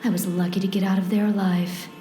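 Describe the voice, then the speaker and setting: A woman's voice: medium pitch, level tone, fast talker, mellow, natural voice. An actress playing an earnest, urgent woman pleading with a detective in a comedic noir radio play, with dramatic delivery. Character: urgent, pleading female visitor in a noir mystery. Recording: echoey room, clear background noise.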